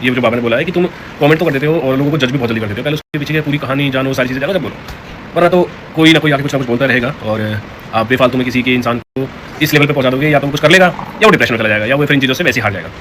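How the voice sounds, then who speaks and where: A man's voice: mid-range voice, very fast, a bit bright. A man vlogger speaking casually and directly to viewers in a rambling, aggrieved way. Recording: clear vehicle noise in background.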